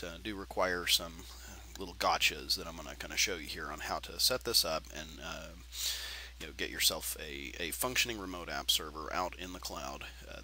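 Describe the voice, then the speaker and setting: A man's voice: low-pitched, a little hiss or hum. A man hosting a tech screencast tutorial, talking casually with lots of fillers, sounding nasal and stuffy from allergies. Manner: relaxed, rambling with many fillers.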